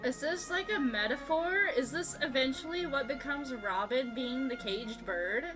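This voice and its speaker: Excitable high-pitched woman streamer. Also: normal speed, low-quality recording. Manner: excitable, sweary, exclamatory. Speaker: streamer reacting to a game. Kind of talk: casual live commentary. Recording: game music behind.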